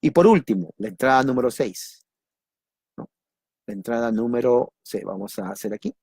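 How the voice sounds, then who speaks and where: Peruvian preacher with a dry, close sound. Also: male voice, medium pitch, normal speed, breathy edge, no room sound. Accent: Peruvian Spanish. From Peru. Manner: repeats key words, asks and answers his own questions; lively, jokes with listeners. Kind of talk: teaching sermon on doctrine.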